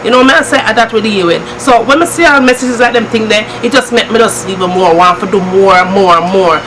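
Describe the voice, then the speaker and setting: A woman's voice: medium pitch, a little fast. Chatty Jamaican woman, noisy room. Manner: chatty, laughs often, patois phrases. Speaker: cooking channel host. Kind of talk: casual chat.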